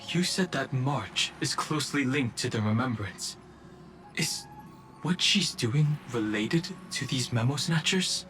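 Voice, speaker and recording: A man's voice: mid-range voice, expressive, a little slow, a bit bright. An actor playing a reserved, earnest, worried young man in an anime game. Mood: subdued, questioning. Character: serious, reserved, worried young male adventurer. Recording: music behind the voice.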